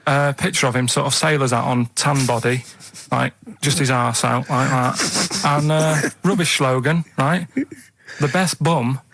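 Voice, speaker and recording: A man's voice: medium pitch, fairly even intonation, measured pace, vocal fry, a bit bright, dry recording. A man from Manchester with a Mancunian accent, a radio show regular telling a hesitant story in casual studio chat. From Manchester, England.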